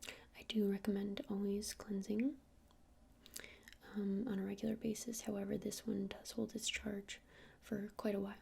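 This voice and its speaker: A woman's voice: mid-range voice, little pitch movement, moderate pace, exaggerated voice. Woman as a whispering, friendly witch shopkeeper. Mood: gentle, helpful. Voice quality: soft breathy whisper. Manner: hesitant, informal explaining. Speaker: ASMR roleplayer. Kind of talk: whispered ASMR roleplay.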